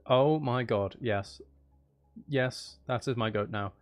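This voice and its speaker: A man chats casually to his livestream with faint music playing behind him. His mid-pitched voice rambles along in a self-deprecating manner, as a game streamer talking through what he is doing. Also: slow. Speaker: game streamer and audiobook narrator.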